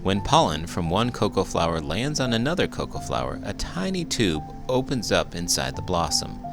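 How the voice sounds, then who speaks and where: A man reading a children's book aloud as a teacher would, expressive and full of big emotion. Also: low voice, moderate pace, gravelly, music in the background. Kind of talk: animated children's read-aloud narration.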